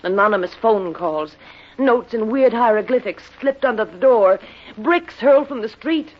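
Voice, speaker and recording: Nervous dramatic lady client, slightly husky, muffled old radio sound. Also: a little high, expressive, moderate pace. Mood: agitated. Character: anxious, pleading female client with a stolen diary. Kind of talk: 1950s radio drama acting.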